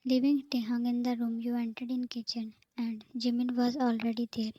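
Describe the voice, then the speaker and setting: A woman narrating a story in a steady, soft unvoiced whisper. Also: on the high side, normal speed, dry recording. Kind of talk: whispered fan fiction reading.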